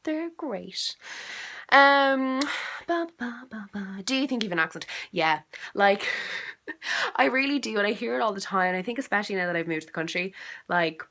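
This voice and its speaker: A woman's voice: medium pitch, lively intonation, normal speed, bright, forceful tone. A young woman with a South Dublin Irish accent and a cold, chatting casually from bed at home, rambling with lots of "like" and "um" and the odd swear. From Ireland. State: has a cold, congested. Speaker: vlogger. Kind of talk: casual chat answering a video challenge.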